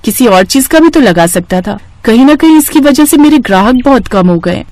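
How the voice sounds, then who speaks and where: Casting: woman as worried honest cartoon vendor woman, high pitch, lively plaintive swings. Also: normal speed, dry recording, natural voice. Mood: dismayed. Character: dismayed honest woman street-food vendor.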